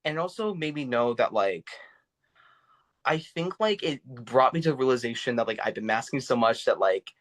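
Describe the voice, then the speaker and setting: Young man talking in halting fillers. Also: mid-range voice, lively intonation, a bit bright. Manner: halting, full of "like" fillers and restarts. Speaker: podcast guest, actor and vocal coach. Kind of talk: casual interview talk.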